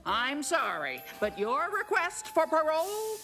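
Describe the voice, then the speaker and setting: Stern, lively-pitched female official. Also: a little slow, full character voice. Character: stern, dismissive parole board official. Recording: music behind.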